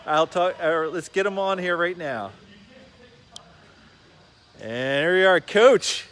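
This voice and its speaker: A man's voice: sits high, takes it slowly, a bit bright. A man hosting a casual livestream interview in an upbeat, chummy way. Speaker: interviewer, real estate agent. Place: sports academy gym.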